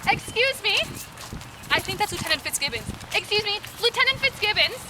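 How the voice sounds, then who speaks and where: Woman acting very high-pitched eager theatrical guide. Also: big pitch swings, fairly quick. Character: eager, nervous, talkative woman guide searching for Laura Secord. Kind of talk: outdoor historical dramatization. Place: outdoors in a park. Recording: clear outdoor park noise.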